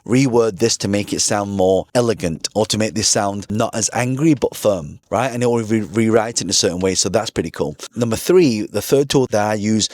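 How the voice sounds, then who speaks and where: A man's voice: lowish voice, fairly quick, hardly any pauses, a little mellow, no room sound. A man hosting his channel, explaining things to camera in a casual, conversational way and answering his own questions. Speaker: business coach and channel host.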